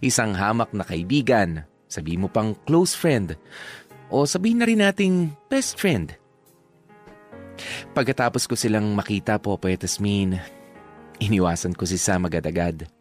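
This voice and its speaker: A man's voice: fairly low pitch, animated, moderate pace, long pauses, faint music behind, natural voice. A man with a Filipino accent acting a jealous, sulking young man narrating his own story in a drama. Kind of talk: first-person drama narration.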